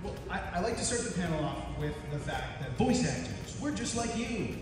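A man's voice: high-pitched, very animated, brisk, over music. A man moderating a convention panel, upbeat and steering the questions. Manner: upbeat, guiding questions, laughs along. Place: convention panel room, indoors. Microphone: handheld mic, filmed from the audience. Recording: echoey hall from far off, audience noise.

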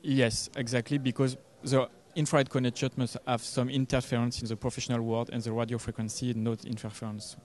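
A man, giving a product demo interview in halting English. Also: mid-range voice, little pitch movement, darkish tone. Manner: halting non-native English. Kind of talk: trade show interview. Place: trade show booth indoors. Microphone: handheld interview microphone.

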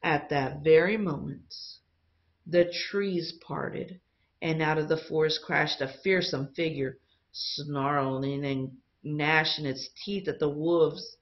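A woman teacher reading a picture book aloud to young students in an expressive storytelling style. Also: sits low, slow, lo-fi sound.

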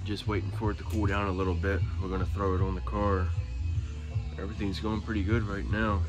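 Man, twenties, low-pitched, monotone, plainspoken, casual garage vlog. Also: brisk, music in the background. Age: 20s. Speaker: car builder and vlogger. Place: home garage workshop, indoors. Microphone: handheld phone at arm's length.

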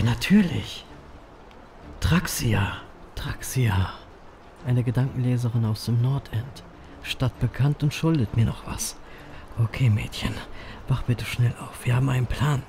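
Creaky-voiced brooding grim reaper played by a man. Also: medium pitch. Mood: wry, arguing with himself. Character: brooding, wry male grim reaper ferryman narrating. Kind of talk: first-person audio drama narration.

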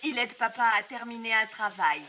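A woman voicing a motherly cartoon hen, fond but firm, gently instructing. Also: on the high side, moderate pace, a little hiss or hum. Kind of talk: cartoon dubbing.

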